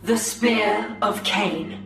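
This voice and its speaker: An actress playing a proud, cool alien elf warrior in a video game, speaking in a solemn, deliberate manner. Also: female voice, mid-range voice. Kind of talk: video game cutscene acting. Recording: echoey, distant, faint music.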